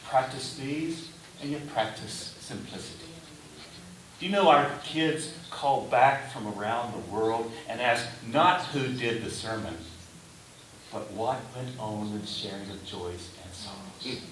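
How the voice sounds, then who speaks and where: Echoey, slow-paced man preaching. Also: medium pitch, fairly bright tone. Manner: deliberate, short phrases with pauses. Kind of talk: sermon. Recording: roomy echo, distant mic, faint hiss.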